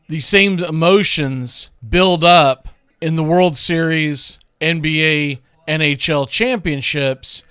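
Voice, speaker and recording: A man with a smooth, fairly high voice hosts a sports radio show. He talks casually and folksily, as if chatting with listeners. The sound is dry and close, with little room around it. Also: bright, forceful tone, no echo. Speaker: radio show host and play-by-play announcer.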